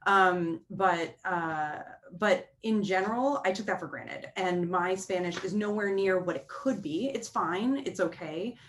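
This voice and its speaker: Earnest woman panelist, lowish voice. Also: a little slow, hardly any pauses, a little hiss or hum. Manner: earnest, many fillers and restarts. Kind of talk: panel talk over video call.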